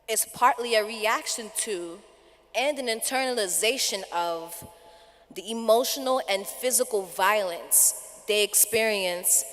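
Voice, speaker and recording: Woman student, very slow emphatic speech, voice echoing through a large hall. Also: mid-range voice, fairly bright tone. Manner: slow, deliberate, emphatic delivery. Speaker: student speaker. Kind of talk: prepared personal speech. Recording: long hall reverb.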